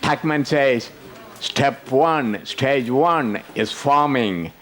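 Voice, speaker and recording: Slow, joking older man presenting a workshop. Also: mid-range voice, a bit bright. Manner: joking, teasing the audience. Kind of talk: interactive conference workshop talk.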